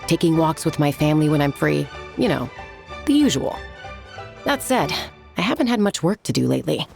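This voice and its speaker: A low, gravelly laid-back warrior. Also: female voice, moderate pace. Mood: relaxed. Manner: relaxed, easygoing, dry. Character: laid-back female warrior who breaks up fights. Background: game music.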